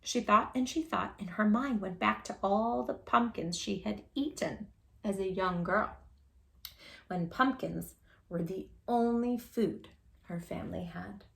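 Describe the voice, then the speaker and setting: A woman's voice: medium pitch, a little slow, gravelly. A woman hosting storytime, reading a picture book aloud expressively and chatting playfully with young listeners. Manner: playful, animated, addressing children directly. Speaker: children's storytime reader and host.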